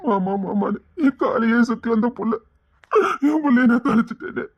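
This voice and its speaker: A man's voice: very high voice, normal speed, husky, lo-fi sound, heavily acted. An actor playing a grieving father who weeps and pleads for his missing child, repeating himself over and over. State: crying. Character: grief-stricken father, desperate and stubborn. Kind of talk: tearful serial drama acting.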